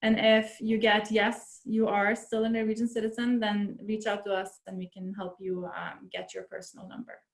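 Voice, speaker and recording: A woman consular officer answers questions in a relaxed, helpful way, pitched at a middle level. Her voice sits in a noticeably echoey room and comes through a video call. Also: normal speed, gravelly, few pauses. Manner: relaxed, helpful, uses fillers. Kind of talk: webinar Q&A answers.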